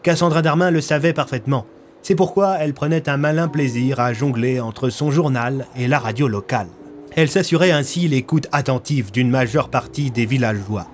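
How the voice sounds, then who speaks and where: A man's voice: mid-range voice, moderate pace, a little hiss or hum. A man reading scripted story narration as a storyteller.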